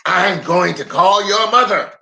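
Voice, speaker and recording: A man's voice: sits high, measured pace, vocal fry, pushed and bright, pitched above the performer's own voice, exaggerated voice. A man voicing a stern, impatient female schoolteacher from a children's storybook, scolding and insistent. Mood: threatening. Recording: roomy echo, faint music.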